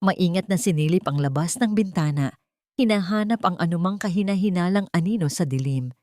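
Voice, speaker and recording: A woman narrates a scripted story in a fairly low voice. Her delivery is measured and dramatic. The sound is very dry, with no room around it. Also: darkish tone, dry recording.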